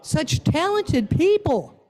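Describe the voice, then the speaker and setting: A woman addresses a group in a relaxed, soft-edged voice. She is conversational and playful, coaxing people along as an informal speaker would. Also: very high-pitched, slow pace. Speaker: guest speaker leading an interactive church talk. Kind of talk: informal talk to a congregation.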